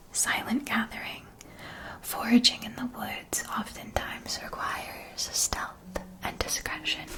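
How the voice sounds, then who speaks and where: A woman's voice: medium pitch, natural voice, low-quality recording. Woman as a whispering witch-shop keeper. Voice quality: soft, breathy whisper. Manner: slow, gentle, measured, attentive salesmanship. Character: courteous, helpful woman shopkeeper at a witch school supply store. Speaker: ASMR roleplay performer. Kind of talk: whispered ASMR shopkeeper roleplay.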